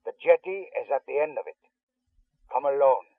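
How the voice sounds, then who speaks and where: Male kidnapper, cold, measured, menacing politeness, medium pitch, radio spy drama, thin telephone sound. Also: animated, gravelly, no room sound. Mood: measured threat. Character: coldly menacing male kidnapper issuing ransom instructions. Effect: telephone line. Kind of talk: radio spy thriller acting. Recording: tinny narrow phone-line sound.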